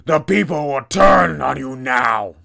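A man voicing a brutal, menacing town enforcer from a fantasy tabletop game, forceful and commanding. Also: mid-range voice, animated, slow pace, gravelly, voice pushed up, full character voice. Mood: furious. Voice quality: forceful, raised voice. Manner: commanding, threatening.

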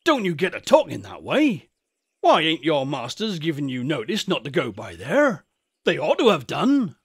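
A man's voice: on the high side, wildly swooping pitch, normal speed, breathy edge, full character voice. A man voicing a superstitious old shepherd in a storybook, with a rustic English country dialect and a grave, folksy, emphatic way of warning. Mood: startled.

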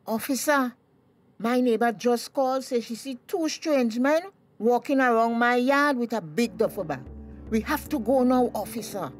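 A woman's voice: a little high, normal speed, faint music behind, natural voice. An actress with a Tobagonian accent playing an anxious, fussing middle-aged mother in a radio drama. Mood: flustered.

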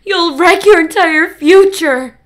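A woman's voice: very high-pitched, slow pace. A woman playing a frail, ailing old mother, desperate and loving, pleading urgently in an audio drama. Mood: pleading, distressed.

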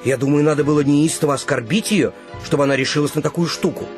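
An actor playing a stern, indignant grown son in a radio drama, curt, reproachful and sardonic. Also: male voice, a little high, quick pace, a little mellow, faint music behind, close to an everyday voice. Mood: stern disbelief.

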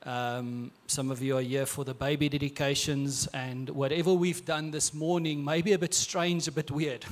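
A man in his thirties with an Afrikaans accent, preaching a sermon on a church stage into a handheld mic in a relaxed, conversational way with restarts. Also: fairly high pitch, normal speed. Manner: conversational, with frequent filler and repeated words. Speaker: pastor. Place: large church stage, indoors.

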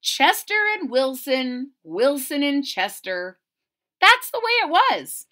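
A middle-aged woman reading a picture book aloud to children, expressive and deliberate, pausing to question her listeners. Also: high voice. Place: small indoor room at home.

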